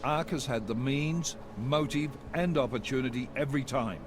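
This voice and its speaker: A man's voice: medium pitch, normal speed, music in the background, close to an everyday voice. An actor playing a stern male agency director who gives firm, curt orders. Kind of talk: video game dialogue.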